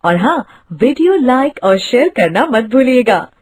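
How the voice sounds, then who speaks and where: Husky, animated woman presenter. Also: on the high side, unhurried, no echo, clean studio sound. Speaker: channel presenter. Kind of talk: scripted sign-off to viewers.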